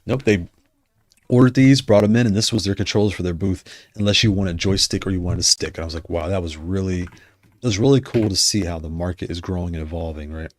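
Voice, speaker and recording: A man chatting casually on a livestream, rambling and correcting himself as he goes, his voice going hoarse. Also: deep voice, fast. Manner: rambling, self-correcting, conversational. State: losing his voice. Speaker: streamer discussing controllers.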